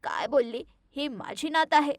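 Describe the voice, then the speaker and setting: A woman plays an old village grandmother in an animated moral tale. Her voice sits fairly high and sounds close and dry. She is caring but firm, quick to take relatives to task. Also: no echo. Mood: stern, scolding. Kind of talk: animated moral story dialogue.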